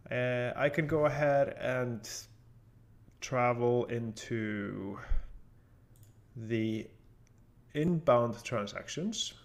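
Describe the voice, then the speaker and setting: A man talks through a screen-share software lesson in an informal, instructional style. His voice sits at an average pitch with a clearly gravelly, creaky edge. He explains each step in order, as a trainer would. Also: very slow, pauses often. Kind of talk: casual screen-share tutorial narration.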